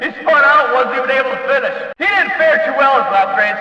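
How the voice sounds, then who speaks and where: A man playing an excitable, showy race announcer at a small-town demolition track in a video game, heard as if over a stadium PA. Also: very high voice, fast, full character voice. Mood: upbeat, informative. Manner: showy, emphatic announcer delivery. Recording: echoing, distant, muffled PA-like sound.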